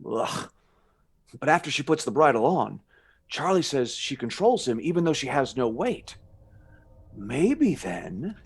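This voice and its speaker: A man's voice: medium pitch, very animated, unhurried, vocal fry, long pauses, slightly rough, exaggerated voice. A man playing a bold, determined brother in a radio play, decisive and dramatic in his delivery. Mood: plotting. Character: bold, determined brother plotting against a witch.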